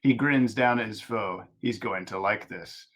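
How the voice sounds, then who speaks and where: A man reading stage directions aloud as narrator at a table read of an animated script. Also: lowish voice, unhurried.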